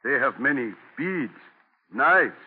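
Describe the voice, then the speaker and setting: An actor playing a blunt, urging Native go-between who declaims in clipped, broken English, in 1940s radio drama style. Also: male voice, mid-range voice, very animated, slow pace, husky, heavily acted. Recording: muffled, echoing, tinny 1940 radio recording.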